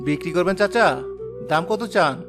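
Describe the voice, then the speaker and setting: A man playing a poor, devout village father in a cartoon, speaking gently and respectfully. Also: sits high, brisk, natural voice. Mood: respectful, earnest. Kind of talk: cartoon dialogue. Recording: clear music bed behind the voice.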